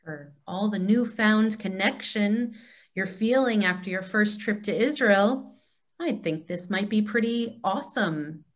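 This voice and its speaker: Woman playing an unhurried, wry God figure. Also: mid-range voice, natural voice. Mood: wry, teasing. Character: God on a video call, wry and playful. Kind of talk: reader's theatre comedy. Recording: video call reader's theatre.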